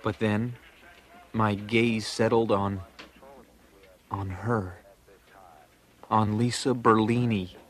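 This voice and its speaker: A man's voice: fairly low pitch, fairly even intonation, slow pace, pauses often. An American actor playing a grown man who narrates memories of his boyhood crush in a wry, nostalgic, dramatic way. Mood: dreamy. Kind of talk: scripted first-person narration.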